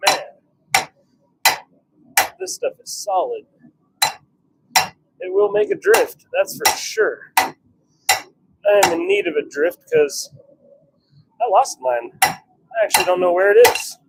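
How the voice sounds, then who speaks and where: A man's voice: high voice, huge pitch swings, slow, pauses often. A man giving casual commentary as he works in a noisy forge workshop, musing to himself in short fragments. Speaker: blacksmith hosting a workshop livestream. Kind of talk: casual commentary while working. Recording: very noisy workshop with clicks and clanks.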